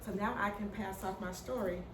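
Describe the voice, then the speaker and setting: A woman's voice: medium pitch, fairly even intonation, a little fast. Middle-aged woman, stutters, candid and funny personal talk; echoey room, mic set at a distance, noisy background. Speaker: speaker telling her story. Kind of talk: personal story talk to an audience. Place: hall before an audience. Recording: roomy sound from a distant mic with noise.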